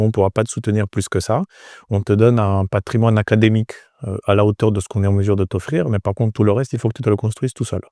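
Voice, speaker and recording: A man in his forties from Romania gives conversational interview answers. His voice is low and soft-edged, recorded close and dry. He often pauses and repeats words as he searches for phrasing. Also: no echo. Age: 40s. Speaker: entrepreneur, interview guest.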